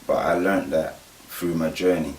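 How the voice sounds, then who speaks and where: A man speaking thoughtfully and haltingly about mentoring young people. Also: extremely low pitch, fairly even intonation, a little hiss or hum. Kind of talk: reflective interview talk. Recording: echoey room, distant mic.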